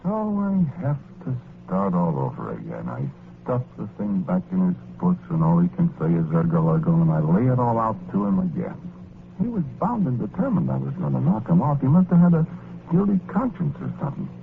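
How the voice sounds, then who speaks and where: A man's voice: on the low side, very animated, a little fast, vocal fry. An actor with an American accent playing a well-meaning, exasperated working-class ghost who tells his story in slangy 1940s radio drama style. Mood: earnest, exasperated. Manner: colloquial, folksy slangy storytelling. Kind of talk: 1940s radio horror-comedy acting, first-person narration. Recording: scratchy, noisy, narrow-band old radio recording.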